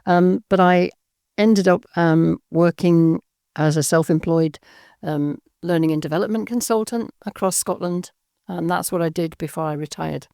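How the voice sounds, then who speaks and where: A woman's voice: lowish voice, normal speed, creaky, warm tone, no room sound, studio quality. A woman in her sixties from Birmingham, talking thoughtfully as an interview guest, with frequent ums and repeated words. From England, Birmingham. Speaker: guest, audio drama writer and director. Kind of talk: casual interview chat.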